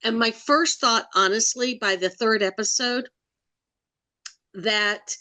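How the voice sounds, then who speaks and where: A woman's voice: on the high side, takes it slowly, sharp tone. A woman co-hosting a podcast, chatting casually and hesitantly, with fillers and trailing thoughts. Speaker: podcast co-host. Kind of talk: casual chat.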